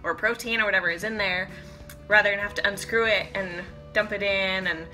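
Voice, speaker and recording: A woman's voice: mid-range voice, steady tone, a little fast, sharp tone, faint music behind. A woman in her thirties talking informally to camera as a fitness vlogger, chatty and thinking aloud as she demonstrates things in a home kitchen. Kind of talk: casual chat to camera, product demonstration.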